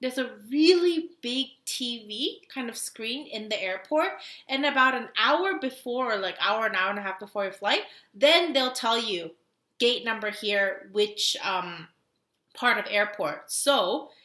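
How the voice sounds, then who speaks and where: A woman's voice: fairly high pitch, expressive, measured pace, bright, forceful tone. Chatty Korean-born woman in an echoey room. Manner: chatty storytelling with frequent laughs and "like" fillers. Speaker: podcast co-host. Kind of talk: casual chat.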